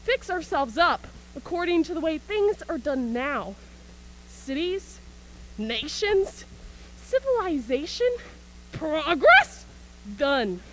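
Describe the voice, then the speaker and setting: A woman's voice: sits high, sing-song, slow, background noise, heavily acted. A woman acting an embittered, manic militia soldier in a stage radio play, ranting in colloquial speech about surviving an invasion. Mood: scornful, resigned. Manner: colloquial, ranting, punctuated by scornful laughs. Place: school auxiliary gym.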